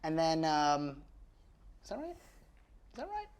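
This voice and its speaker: A man playing a tabletop roleplaying game, chatting casually and hesitantly thinking his moves out loud. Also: fairly high pitch, takes it slowly, a crowd in the background. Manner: animated, hesitant while working things out.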